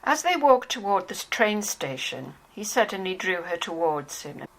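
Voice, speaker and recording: A woman's voice: mid-range voice, very animated, normal speed, a bit bright, low-quality recording. A woman narrating a novel from a script. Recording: faintly hissy home recording.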